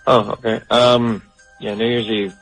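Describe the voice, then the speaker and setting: A man's voice: lowish voice, flat delivery, a little slow, a bit bright, faint music behind. A man answering a phone call in a polite, hesitant manner. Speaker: prank call recipient.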